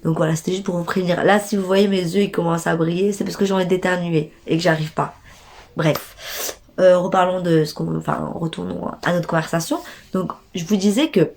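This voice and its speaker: A woman's voice: lowish voice, fairly even intonation, brisk, gravelly, a little mellow. Congested young woman ranting in slang. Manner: indignant, slangy, talks straight to viewers. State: stuffy nose from a cold. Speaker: vlogger. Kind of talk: casual storytime rant.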